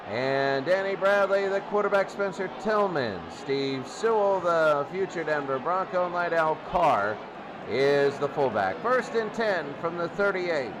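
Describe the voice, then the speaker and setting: A man's voice: high-pitched, background noise. A man hosting a hobby football game, explaining the rules and then calling the plays in an old-time radio announcer style.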